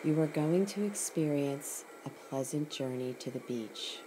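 A woman narrating a scripted guided imagery meditation in a soothing, measured way, leaving pauses between short phrases. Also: low voice. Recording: clearly noisy recording with ambient sound. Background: ocean sounds.

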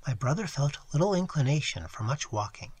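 A man voicing a distressed young lady in a read-aloud story, speaking in a low, beseeching murmur. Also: very animated, fairly quick, breathy edge, no room sound. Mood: earnest. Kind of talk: audiobook character reading.

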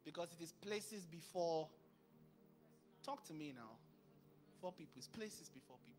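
Animated male pastor preaching on a church stage. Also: a little high, moderate pace, over music. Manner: animated, acts out dialogue, bursts of volume. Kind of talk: sermon. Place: large church stage with purple lighting. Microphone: handheld mic.

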